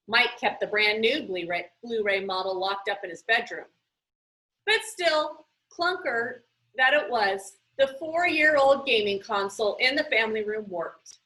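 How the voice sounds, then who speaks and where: Bright, lively woman reading a storybook aloud. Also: fairly high pitch, rough recording. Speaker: reader reading a children's book aloud. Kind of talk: expressive storybook read-aloud narration.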